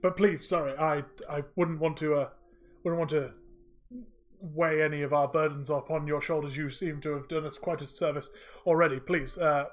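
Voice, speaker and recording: A courteous elderly town speaker, tall and gracious, who halts and apologizes as he talks, as a game master puts him on. His voice sits a little high for a man. It is a tabletop roleplay figure. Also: fairly even intonation, normal speed, faint music behind, natural voice.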